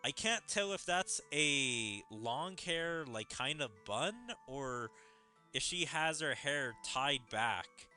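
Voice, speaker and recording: A man's voice: on the high side, expressive, takes it slowly, sharp tone, faint music behind. A man giving casual game commentary as a streamer, musing and speculating as he goes. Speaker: gaming streamer.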